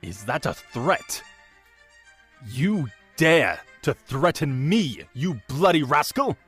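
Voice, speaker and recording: A man playing a pompous, imperious archbishop in a period audio drama, haughty and contemptuous in his deliberate delivery. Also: a little high, very animated, fairly bright tone, breathy edge, soft background music, full character voice. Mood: cold contempt.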